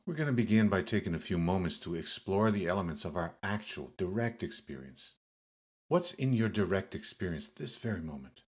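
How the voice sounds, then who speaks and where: Male meditation guide, low and a little breathy-rough, delivering yoga nidra instructions in measured, pause-filled phrases. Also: expressive.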